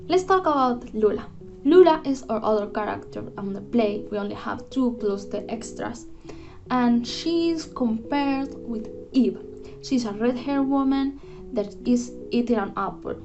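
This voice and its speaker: A woman's voice: fairly high pitch, animated, a little slow, a little mellow. A young woman, a student giving a prepared book review in an earnest, careful way with a few restarts. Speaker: student presenter. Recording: dull lo-fi voice with music behind. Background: guitar music.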